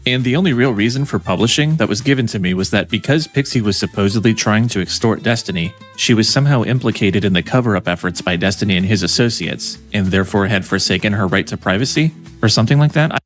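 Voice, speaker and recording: A man with a somewhat low voice tells his own story quickly in scripted first-person narration. His tone is reflective and a little conflicted. Soft guitar plays behind him. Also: animated. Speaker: video editor. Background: soft guitar music.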